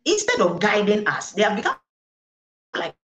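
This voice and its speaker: A Ghanaian woman reverend speaks with earnest conviction, moving between English and Twi. Her pitch rises and falls in lively swings as she repeats phrases for weight. The studio recording carries a noticeable roomy echo. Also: normal speed. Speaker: reverend guest. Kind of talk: preaching interview answers. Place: studio set, indoors.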